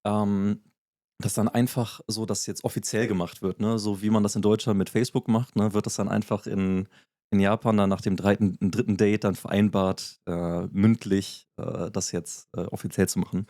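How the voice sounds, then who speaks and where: A man with a low, mellow voice talks through a topic at an easy conversational pace, hedging with frequent fillers and restarts. The recording is dry and close, with no room sound behind him. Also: level tone, moderate pace, no echo. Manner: explanatory, many fillers and restarts. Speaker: podcast co-host. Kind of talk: casual podcast chat.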